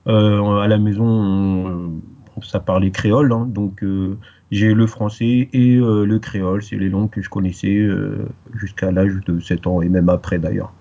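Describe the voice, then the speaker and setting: A man born in France, answering interview questions in a relaxed way, pausing often with fillers as he looks for his words. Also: lowish voice, lo-fi sound. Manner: hesitant, many pauses and fillers. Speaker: interview guest. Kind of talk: casual interview.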